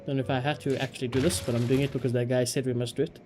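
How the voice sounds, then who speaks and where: A man's voice: mid-range voice, flat delivery, very fast, music in the background. A man live streaming a game, chatting casually and giving opinionated asides, often repeating his phrases.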